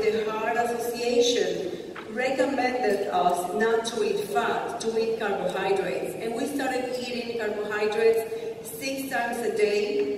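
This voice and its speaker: A woman's voice: fairly high pitch, a little mellow, a little hiss or hum. Puerto Rican woman physician lecturing in a hall. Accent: Puerto Rican Spanish. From Puerto Rico. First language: Spanish. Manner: quick, informal, with non-native phrasing. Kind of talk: conference lecture. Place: large conference hall. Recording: echoey hall from far off.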